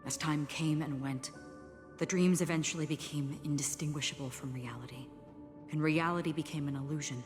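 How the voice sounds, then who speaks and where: A woman playing a calm, earnest, caring guide in a video game, with a measured and serious explanatory delivery. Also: deep voice, close to an everyday voice. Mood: serious, explaining. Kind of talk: scripted video game voice acting. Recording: long reverb, game music behind.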